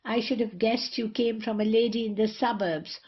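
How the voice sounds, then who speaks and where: A woman's voice: fairly high pitch, fairly even intonation, normal speed, highs cut off, close to an everyday voice. A woman playing a saucy, sneering tavern wit in a period comedy, teasing and prying theatrically. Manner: teasing, inquisitive.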